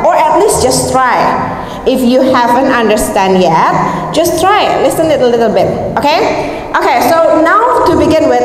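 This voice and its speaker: High-voiced young woman in an echoey hall. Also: a little hiss or hum. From Indonesia. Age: 20s. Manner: encouraging, asks the audience questions. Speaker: guest speaker, Mandarin teacher. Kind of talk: speaking to a student audience. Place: large indoor hall. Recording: echoey hall with long reverb.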